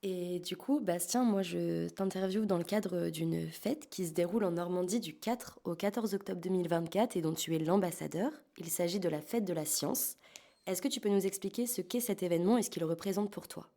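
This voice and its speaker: A woman's voice: fairly low pitch, moderate pace, creaky, mellow. A woman hosting a podcast interview in a conversational style.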